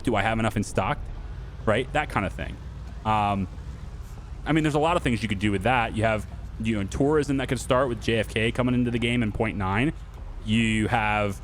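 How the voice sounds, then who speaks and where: A man's voice: mid-range voice, a little hiss or hum. A man hosting a gaming video, talking casually and off the cuff to viewers in a chatty, rambling way. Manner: chatty, rambling, thinking aloud, addressing viewers and developers directly.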